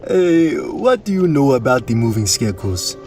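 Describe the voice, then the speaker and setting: A man playing a proud, flustered, animated young prince. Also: medium pitch, moderate pace. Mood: earnest. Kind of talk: audio drama acting. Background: music.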